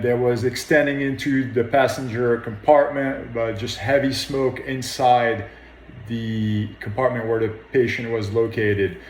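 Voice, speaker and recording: A man's voice: medium pitch, slight background noise. Echoey man, firefighter recounting an incident. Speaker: firefighter officer. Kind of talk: interview account of an incident. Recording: echoey room sound.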